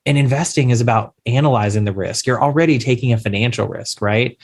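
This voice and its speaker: A man's voice: mid-range voice, fast, husky. Emphatic man advising on insurance. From Louisiana, USA. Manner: emphatic, explanatory, rhetorical questions. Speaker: insurance attorney guest. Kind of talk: podcast interview, advising investors.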